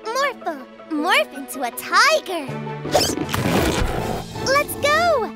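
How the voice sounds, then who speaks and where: A woman voicing a cheerful, brave little girl in an animated children's cartoon. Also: extremely high pitch, very slow, full character voice. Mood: bold. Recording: loud music bed underneath.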